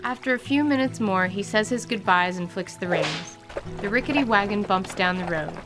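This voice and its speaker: A woman narrating a scripted tabletop radio drama in an even, descriptive way, over music. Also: mid-range voice, normal speed. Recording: clear music bed under voice.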